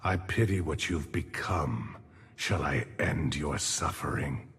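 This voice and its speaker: A grim, world-weary old necromancer from a video game, drenched in long reverb. He speaks extremely slowly in a gravelly rasp, low and solemn, brooding over the dead. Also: fairly bright tone, exaggerated voice. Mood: weary, resigned. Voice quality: gravelly, husky, breathy. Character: weary, grim old male necromancer shepherd of lost souls. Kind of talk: video game character voice lines.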